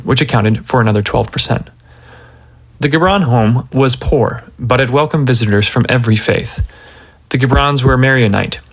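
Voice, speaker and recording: A man narrating a magazine article as scripted narration, reading deliberately in short, measured phrases. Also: medium pitch.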